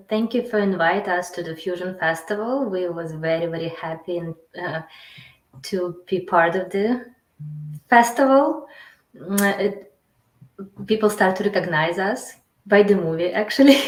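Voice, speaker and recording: A woman from Ukraine is being interviewed, and her English is halting and non-native, full of pauses and fillers. Her voice sits in a middle range. The recording carries a noticeable room echo. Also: mid-range voice. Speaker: interview guest. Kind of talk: casual interview.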